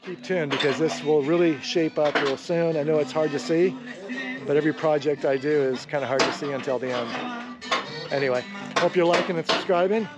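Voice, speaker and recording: A man's voice: on the high side, a little fast, loud music behind the voice, rough recording. A middle-aged man walking a boat yard and talking casually to a handheld camera, easygoing and rambling with plenty of fillers. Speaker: vlogger rebuilding a trimaran. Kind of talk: casual walk-and-talk vlog. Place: outdoor boat yard in the sun. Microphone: action camera held at arm's length.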